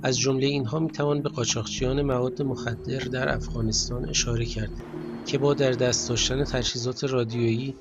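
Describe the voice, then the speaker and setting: A man with an Iranian Persian accent narrating a scripted documentary in a measured, formal storytelling manner. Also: medium pitch, little pitch movement, muffled recording. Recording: music bed under the voice.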